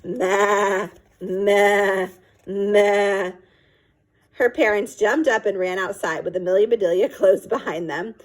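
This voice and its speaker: A woman reading a children's chapter book aloud expressively, pausing to explain phrases to young listeners. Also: medium pitch, husky, low-quality recording. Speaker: read-aloud storyteller.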